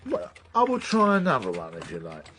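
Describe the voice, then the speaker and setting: An actor playing a blunt, gruff British police detective mentor in a radio drama, sardonic and emphatic, with a husky voice and slangy speech. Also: male voice, medium pitch, wildly swooping pitch, fairly quick, a bit bright, slight background noise. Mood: wry. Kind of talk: naturalistic radio drama acting.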